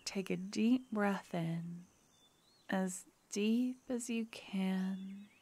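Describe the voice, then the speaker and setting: A woman voicing a gentle young necromancer girlfriend in an ASMR fantasy roleplay, speaking softly and often whispering. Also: medium pitch, fairly even intonation, extremely slow, husky, full character voice. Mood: tender. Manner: soft and intimate, often whispering.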